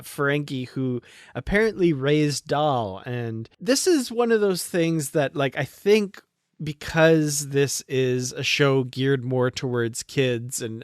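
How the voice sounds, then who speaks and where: A man co-hosting a podcast, talking casually about a TV show in a measured way, as if thinking aloud. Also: medium pitch, clear, resonant tone, no room sound, studio quality. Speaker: podcast co-host.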